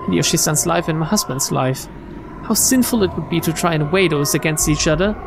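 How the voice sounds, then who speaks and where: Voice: medium pitch, fairly quick, gravelly. A streamer reading visual novel text aloud in a dramatic narrating style. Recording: game music behind the voice.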